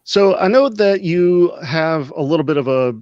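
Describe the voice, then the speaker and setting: A man from Texas hosting a casual interview over a video call, relaxed, with "uh" and "you know" fillers. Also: fairly high pitch, moderate pace, smooth, dry recording, dull audio. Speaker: host.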